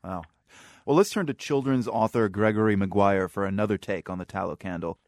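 A man's voice: lowish voice, brisk, a little mellow, no room sound, clean studio sound. A man presenting a radio magazine programme and interviewing guests as its host.

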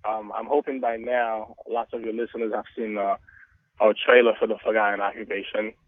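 Haitian-born guy on a phone line, kinda low voice, rambles, says you know a lot, dry tinny call audio. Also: flat delivery, a little fast, no room sound. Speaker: documentary filmmaker, guest. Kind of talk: radio interview over the phone.

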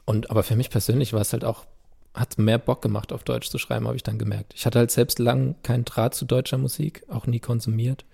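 Gravelly, husky-voiced man. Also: mid-range voice, flat delivery, brisk, warm tone. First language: German. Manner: laid-back, reflective, slangy. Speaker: musician guest. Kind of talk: candid casual interview talk.

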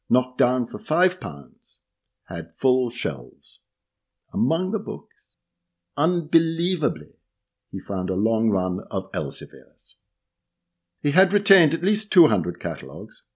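Husky low-voiced man reading. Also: a little mellow. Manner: measured, literary reading with lifted emphasis on key words. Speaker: reader of a magazine article. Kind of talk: read-aloud essay narration.

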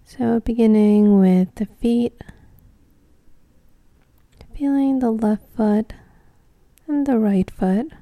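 A woman guides a meditation with a deeply mellow, relaxed voice. She speaks slowly and gently in short phrases, leaving long pauses between them. The sound is dry, with very little room around her. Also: dry recording.

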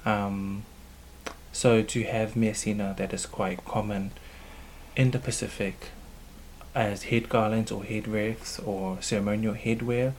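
New man, cultural leader, fairly low voice, measured and hesitant with ums, faint room hum behind. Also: fairly even intonation, a little mellow, rough recording. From New Zealand. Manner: thoughtful, hesitant, frequent um fillers. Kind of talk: interview answers.

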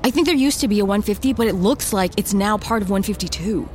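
A woman's voice: medium pitch, fast, a little mellow, close to an everyday voice. An actress playing a breezy, matter-of-fact woman on an errand in a satirical audio drama. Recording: faint outdoor street and traffic noise.

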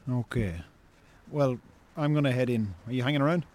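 An actor with an Irish accent playing a reproachful, level-headed brother in a radio drama, measured and blunt. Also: male voice, medium pitch, a little mellow, natural voice. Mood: conciliatory. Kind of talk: naturalistic radio drama acting.

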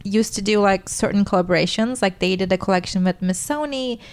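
A woman's voice: mid-range voice, fairly quick, darkish tone. A woman from Turkey hosting a podcast, chatting casually and peppering her talk with "like.". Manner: chatty, frequent "like". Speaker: podcast host. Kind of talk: casual conversational interview.

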